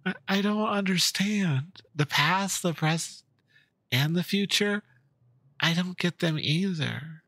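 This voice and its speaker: A man's voice: sits high, takes it slowly, sharp tone, no room sound, voice pushed up, full character voice. A man voicing a confused, curious young girl with a hesitant, plaintive way of talking in a dramatic story reading.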